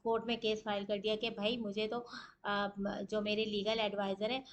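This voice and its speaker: A woman's voice: mid-range voice, little pitch movement, normal speed. A woman with a Pakistani accent giving an explanatory law lecture in a didactic way, posing questions and answering them, mixing in English terms. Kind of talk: explanatory lecture, switching between Urdu and English legal terms.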